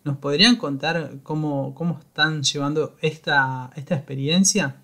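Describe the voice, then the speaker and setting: A man's voice: on the high side, moderate pace, gravelly, sharp tone, slight background noise. A man hosting a podcast, talking in a loose conversational way with frequent restarts. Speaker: podcast host. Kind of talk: casual podcast interview.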